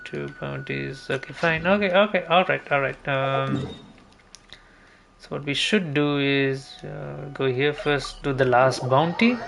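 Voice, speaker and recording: A man's voice: medium pitch, gravelly, noisy background. A man giving casual gameplay commentary, thinking aloud and muttering to himself as he plans his next moves. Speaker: streamer playing a video game. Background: game music.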